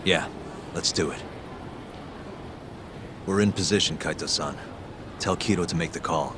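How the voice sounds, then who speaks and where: A man playing a calm, determined private detective in a video game cutscene, speaking in a composed, matter-of-fact way. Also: low-pitched, a little slow, noisy background. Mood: focused. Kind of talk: English video game cutscene dub acting. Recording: music playing behind the voice.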